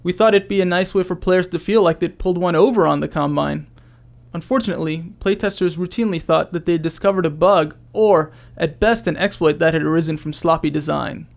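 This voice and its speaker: Guy reading game dev commentary, voice a bit high and really gravelly with fry. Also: brisk. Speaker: game developer. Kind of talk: scripted developer commentary narration.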